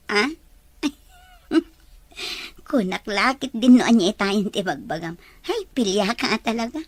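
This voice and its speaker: A woman's voice: mid-range voice, expressive, a little slow, no echo. A woman acting a friendly, teasing young office worker in a drama. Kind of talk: Ilocano-language drama dialogue.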